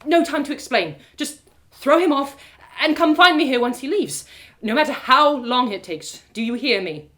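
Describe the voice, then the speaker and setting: A woman's voice: sits high, lively intonation, moderate pace, sharp tone, pitched above the performer's own voice. A woman voicing a wary female fantasy traveler, urgent and firm with her companion. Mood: urgent, commanding.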